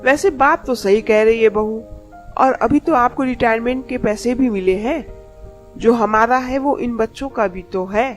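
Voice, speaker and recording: A woman's voice: fairly high pitch, moderate pace, faint music behind, lightly acted. A woman playing a trusting, doting older mother-in-law in a Hindi moral story. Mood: trusting, content.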